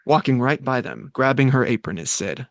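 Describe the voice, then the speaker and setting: Mid-pitched man narrating stage directions. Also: animated, moderate pace, no echo. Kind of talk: scripted narration.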